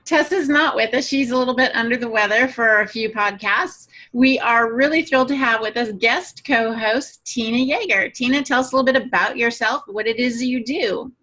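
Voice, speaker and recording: A woman with a high, very bright voice hosts a podcast. She talks in a chatty, informal way and likes to tease her co-hosts playfully. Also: moderate pace, hardly any pauses. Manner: playful, teasing banter. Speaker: podcast host and moderator.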